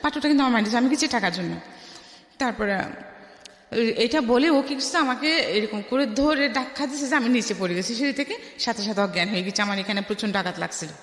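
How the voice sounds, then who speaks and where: A woman with a Bangladeshi Bengali accent tells her life story as a radio interview guest, haltingly, restarting phrases and dropping in English words. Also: on the high side. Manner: halting, with repeated false starts and English words mixed in. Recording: echoey with long reverb.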